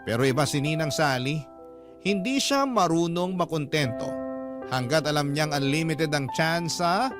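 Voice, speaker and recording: A Filipino man reads a scripted first-person drama as its narrator. His voice is slightly high for a man, with instrumental music clearly playing behind him. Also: expressive, normal speed.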